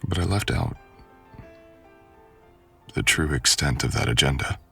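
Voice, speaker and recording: Man voicing a very deep, gravelly seductive stalker. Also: expressive, sharp tone, faint music behind, full character voice. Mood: possessive, intent. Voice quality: gravelly, breathy.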